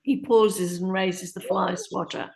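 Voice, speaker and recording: A woman's voice: fairly low pitch, steady tone, takes it slowly, poor audio. A woman reading stage directions as narrator in a scripted play reading.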